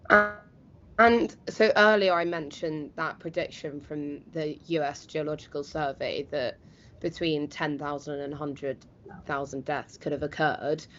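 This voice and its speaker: A woman's voice: low voice, steady tone, unhurried, fairly bright tone, muffled recording, slight background noise. A woman hosting live news, putting questions to a guest and linking between stories. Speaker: news host.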